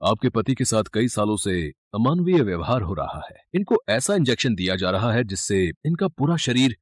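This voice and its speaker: A man playing a serious medical man in an audio story, explaining in a measured way. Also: on the low side, slightly rough, no room sound, studio quality. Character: conspiring compounder and grave doctor, adult men.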